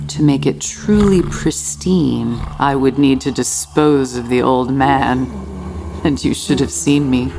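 An actress playing an obsessively tidy, murderous housekeeper, a genteel lady narrating a Gothic radio play in a theatrical, deliberate way. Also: deep voice, a little hiss or hum. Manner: theatrical, deliberate, repeating words for emphasis.

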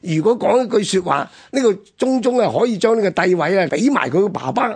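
A man with a gravelly, husky voice and a Hong Kong Cantonese accent, discussing history conversationally as a radio host. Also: high-pitched, animated, fast talker.

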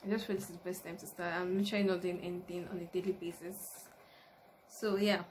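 A woman's voice: lowish voice, little pitch movement, quick pace. Casting: woman, early twenties, Nigerian accent, informal YouTuber chat, home recording with audible background noise. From Lagos, Nigeria. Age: early 20s. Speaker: YouTuber, university student. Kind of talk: casual chat to camera, question and answer. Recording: noticeable background noise, room ambience.

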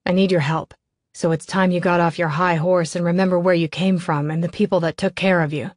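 A menacing criminal man from an audiobook thriller , acted by a woman narrator. He is desperate, contemptuous and goading. The voice is lowish and closely dry. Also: fairly even intonation, brisk, darkish tone, no room sound, natural voice. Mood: goading, demanding.

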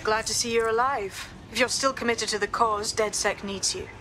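A woman's voice: fairly high pitch, steady tone, moderate pace, over music, natural voice. A woman playing a serious, urgent resistance organiser in a video game. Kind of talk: video game character acting.

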